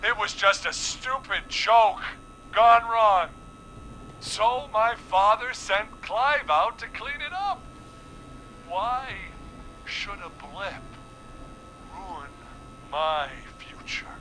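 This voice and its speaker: An arrogant young heir in a video game drama, with a high, very sharp and forceful voice. He justifies himself with entitled indignation, speaking rather slowly over faint background noise. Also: lively intonation, exaggerated voice, rough recording. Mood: self-justifying. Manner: entitled, self-justifying, strained. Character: arrogant, defensive young male heir of a powerful small-town family.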